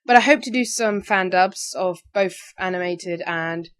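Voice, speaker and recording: A woman's voice: lowish voice, unhurried, smooth. Young woman chatting casually, slightly tired. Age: young adult, about 18. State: slightly tired, recently woken. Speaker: amateur voice actor. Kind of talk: casual question-and-answer vlog.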